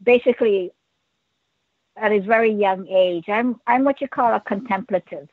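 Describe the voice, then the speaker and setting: A woman from Hawaii calling into a radio show, speaking in an earnest, measured way in short phrases. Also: mid-range voice, dry recording, narrow, tinny audio. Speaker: caller. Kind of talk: call-in conversation. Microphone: phone or call-in line with earpiece.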